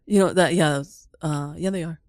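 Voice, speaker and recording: A woman plays along in an improvised comedy scene. Her delivery is conversational, halting and understated, recorded dry with hardly any room sound. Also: low voice, darkish tone, no echo. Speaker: guest improv student.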